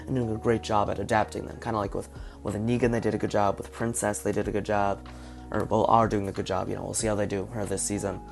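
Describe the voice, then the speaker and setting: A man with a hoarse, partly lost voice, talking through his guesses in a casual video commentary. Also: fairly low pitch. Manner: speculative, thinking aloud. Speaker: video reviewer.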